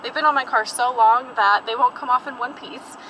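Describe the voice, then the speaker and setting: A woman's voice: on the high side, steady tone, fairly quick, sharp tone, rough recording. Young woman, chatty, rambling vlog talk, dashboard camera, car noise behind her. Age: young adult. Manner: chatty and rambling, many fillers and asides. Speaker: vlogger. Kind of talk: casual vlog chat while driving. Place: inside a parked and moving car. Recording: car interior with road and engine noise.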